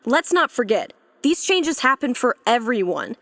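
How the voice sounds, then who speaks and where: A woman's voice: a little high, very animated, a little slow, a bit bright, no echo, clean studio sound, heavily acted. A woman playing an exasperated, determined skeptic in a comedic audio drama, blunt and worried in manner. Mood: exasperated, urgent.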